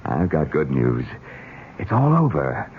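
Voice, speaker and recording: An actor playing a scheming, smooth-talking gentleman villain in a radio drama, with theatrical delivery. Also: extremely low pitch, wildly swooping pitch, slow pace, exaggerated voice. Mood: smug, relieved. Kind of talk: 1970s radio drama acting. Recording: tinny, noisy old radio recording.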